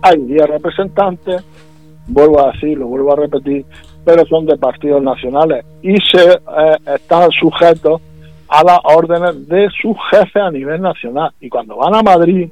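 A man's voice: on the high side, normal speed, darkish tone, dry recording. A man with a Murcian Spanish accent speaking as a regional politician in a radio interview, insistent and often restarting and repeating his points. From Puerto Lumbreras, Murcia region, Spain. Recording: dull lo-fi radio with music behind.

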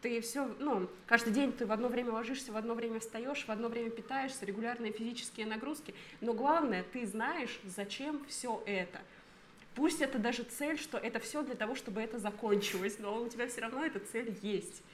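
A woman's voice: fairly high pitch, a little fast, slight background noise. A woman of thirty co-hosting a podcast, explaining her thoughts at length in casual chat. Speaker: podcast co-host.

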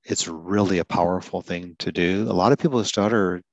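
Low-voiced man explaining a coaching method. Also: a little fast, dry recording, muffled recording. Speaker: podcast host and stuttering advocate. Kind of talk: solo podcast explanation.

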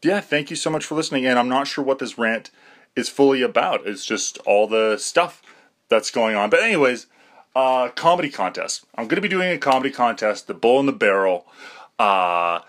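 Slow, hesitant young man. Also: mid-range voice, fairly bright tone. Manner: hesitant, self-deprecating, many fillers and restarts. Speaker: podcast host. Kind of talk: rambling personal monologue.

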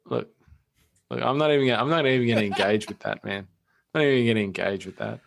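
Male Australian podcast co-host. Creaky mid-range voice, casual, halting, dryly joking. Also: mid-range voice, slight background noise. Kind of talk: casual chat.

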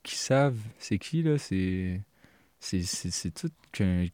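A man with a Québécois French accent, co-hosting a casual podcast chat, hesitant and halting as he tells a story. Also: low voice, expressive, a little mellow, clear, resonant tone, dry recording. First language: French, also speaks English. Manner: hesitant, many fillers and restarts, laughs. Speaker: podcast co-host.

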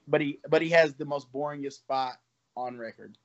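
A man's voice: fairly high pitch, steady tone, moderate pace, fairly bright tone, highs cut off. Young man talking loosely about fighting. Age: 20s. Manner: loose, rambling, laughs between thoughts. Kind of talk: casual interview talk.

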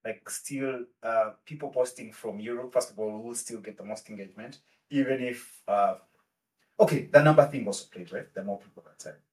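A man's voice: medium pitch, normal speed, a little mellow. A man hosting a podcast who asks loose, hesitant questions full of fillers. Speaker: podcast host. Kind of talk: casual interview. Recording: distant from the mic.

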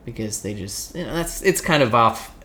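A man's voice: medium pitch, expressive, moderate pace, fairly bright tone. A man with a Canadian accent giving casual, joking and somewhat hesitant answers in an interview. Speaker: podcast guest.

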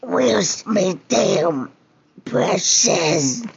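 A man's voice: high voice, very slow, full character voice. A man voicing a creature obsessed with its lost treasure, drawn out, whining and cursing. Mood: frantic. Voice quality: gravelly, croaking. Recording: muffled lo-fi with steady background noise.

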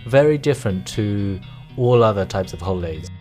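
Man, podcast co-host, easy animated delivery, quick to laugh and tease. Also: lowish voice, soft background music. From United Kingdom. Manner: animated, laughs often, teasing, many fillers. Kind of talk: casual chat.

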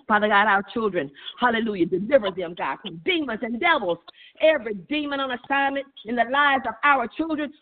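A woman leading fervent charismatic prayer in an impassioned, repetitive, chant-like way over a tinny phone line. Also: on the high side. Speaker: prayer leader. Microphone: telephone.

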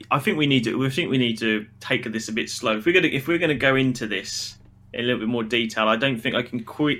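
A man's voice: medium pitch, pushed and bright. A man co-hosting a casual film review podcast, giving his opinions emphatically and repeating phrases for stress.

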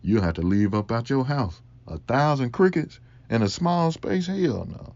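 A young man with a Mississippi accent, hosting a casual solo Q&A, talking slowly in a laid-back, mumbling way. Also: animated, a little mellow, no echo, dull audio. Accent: Mississippi Southern US. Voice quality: deep voice. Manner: slow, laid-back, mumbling, laughs often. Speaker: podcast host.

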